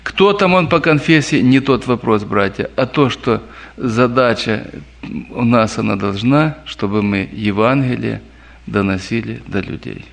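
Gravelly man telling church stories. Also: medium pitch, measured pace. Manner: lively anecdotal telling, addresses listeners as brothers. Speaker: church minister answering questions. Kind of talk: storytelling and answering at a church meeting.

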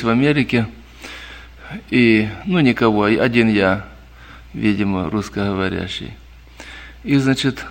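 Gravelly man telling church stories. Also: mid-range voice. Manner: lively anecdotal telling, addresses listeners as brothers. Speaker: church minister answering questions. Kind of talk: storytelling and answering at a church meeting.

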